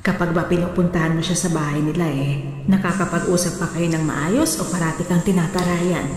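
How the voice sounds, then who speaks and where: An actress playing a gentle, hesitant, concerned older mother in a radio drama. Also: lowish voice, fairly even intonation, a little fast, close to an everyday voice. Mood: worried. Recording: very echoey hall with music behind.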